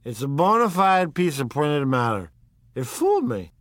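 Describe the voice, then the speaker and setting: A man doing an excitable drunk middle-aged man. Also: on the high side, normal speed, dry recording, studio quality, pitched above the performer's own voice. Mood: excited. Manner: impetuous, excited, rambling.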